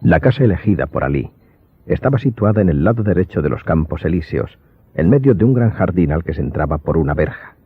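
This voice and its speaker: A man's voice: low-pitched, big pitch swings, a little fast, slightly rough, no echo. A man giving scripted literary narration for an audio drama. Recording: muffled, music playing behind.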